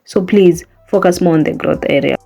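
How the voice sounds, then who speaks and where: A woman's voice: fairly low pitch, normal speed, creaky, mellow, faint music behind. A young woman whose first language is Swahili, chatting casually to camera in a small bedroom about her hair routine, earnest and coaxing. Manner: chatty, earnest, coaxing the viewer with repeated tags. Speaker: hair care vlogger. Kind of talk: casual how-to chat to camera.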